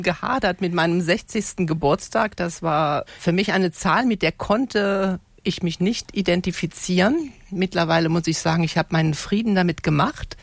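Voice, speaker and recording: A woman's voice: lowish voice, creaky, no echo. A woman in her early sixties giving reflective, conversational answers as an interview guest. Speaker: interview guest, retirement coach.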